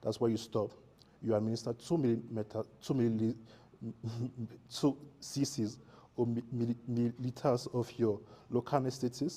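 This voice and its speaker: A man, an anesthetist explaining a nerve block in a live teaching demonstration, halting and correcting his words as he goes. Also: mid-range voice, flat delivery, warm tone. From Cameroon.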